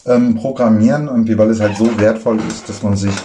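A man with a low, mellow voice rambles through his points, leaning on filler words in relaxed podcast talk. Faint noise sits behind him. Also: quick pace. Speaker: podcast co-host. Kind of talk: casual podcast chat.

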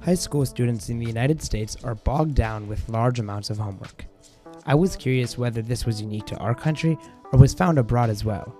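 Mellow-voiced young male host. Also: medium pitch, faint music behind. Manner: measured, reads linking lines. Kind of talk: scripted narration.

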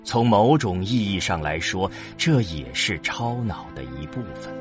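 A man's voice: low voice, sing-song, unhurried. A man reading scripted narration for a science fiction audio drama. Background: music under the voice.

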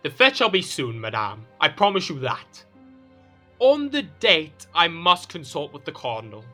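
A man's voice: fairly high pitch, wildly swooping pitch, a little slow, sharp tone, faint music behind, full character voice. Man acting a haughty, petulant king, swooping delivery. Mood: conciliatory. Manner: theatrical, haughty, formal. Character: proud, petulant French king, suspicious yet conciliatory husband. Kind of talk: period audio drama acting.

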